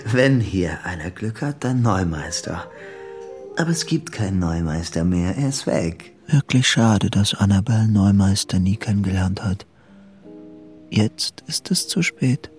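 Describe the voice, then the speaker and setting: An obsessive, lovesick radio play character with an agitated edge. His halting, intense speech swings widely in pitch, with faint music in the recording. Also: expressive, a little mellow. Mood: guarded, controlled. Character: obsessive, agitated lovesick man hiding a secret. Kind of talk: German radio play acting.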